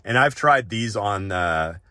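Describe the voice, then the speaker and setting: A man's voice: fairly low pitch, expressive, takes it slowly, bright, forceful tone, no room sound, clean studio sound. A man hosting a gadget walk-through in casual, unscripted tutorial talk, conversational and often checking in with short tag questions. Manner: conversational, often tags sentences with "right?".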